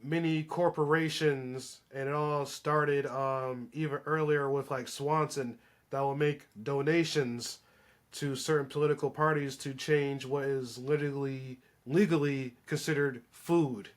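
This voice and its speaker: A man's voice: mid-range voice, steady tone, a little slow. A man in a casual, opinionated podcast chat, speaking in an emphatic, slangy way.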